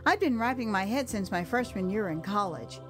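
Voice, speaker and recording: An American woman narrating her own memoir as an audio drama, with theatrical, expressive delivery that savours the words. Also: medium pitch, normal speed, over music. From United States.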